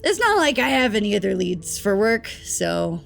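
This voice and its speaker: An actress playing a blunt, earnest, quirky field agent in an audio drama, speaking in a matter-of-fact, candid way. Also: female voice, medium pitch, big pitch swings, normal speed, vocal fry, faint music behind. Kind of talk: naturalistic audio drama dialogue.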